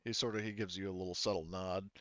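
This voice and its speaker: Man, game master, lowish voice, halting improvised narration, conversational, dull close recording. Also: fairly even intonation, a bit bright, dry recording. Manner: halting, pausing and rephrasing as he narrates.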